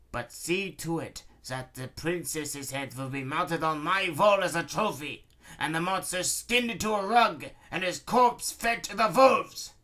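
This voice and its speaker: Man doing a slow, pompous villain baron. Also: mid-range voice, pushed and bright, heavily acted. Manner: pompous, deliberate threats. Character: pompous, vengeful villainous male baron plotting war.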